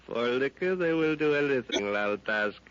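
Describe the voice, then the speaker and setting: A man's voice: mid-range voice, expressive, normal speed, a bit bright, clear, resonant tone, natural voice. An actor playing a scheming male villain who gives cold, commanding orders in a 1930s radio drama. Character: scheming adult male villain plotting a raid. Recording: dull 1930s radio recording, faint hiss.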